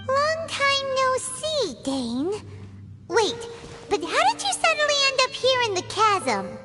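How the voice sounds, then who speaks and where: A woman voicing a small, excitable childlike fairy companion in a video game, squeaky and exclamatory. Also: slow pace, heavily acted. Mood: curious. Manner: animated, exclamatory. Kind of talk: video game character acting. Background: background music.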